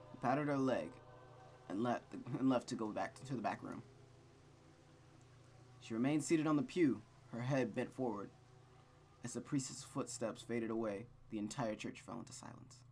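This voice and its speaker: A man's voice: medium pitch, moderate pace, long pauses, a little mellow, slight background noise. A man reading a visual novel aloud as host and breaking off into rambling, self-deprecating commentary, stammering often. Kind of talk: let's play reading with casual commentary.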